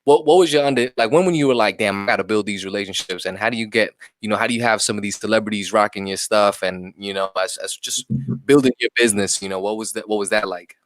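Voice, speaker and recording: A man hosting a casual interview, asking questions in halting bursts full of fillers. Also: fairly low pitch. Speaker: podcast host.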